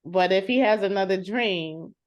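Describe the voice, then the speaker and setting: A woman's voice: mid-range voice, moderate pace, natural voice. A woman playing a practical, frustrated sister in an improvised session over a video call, plain-spoken and insistent. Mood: frustrated, earnest. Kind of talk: improvised role-play dialogue. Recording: slightly muffled video-call audio.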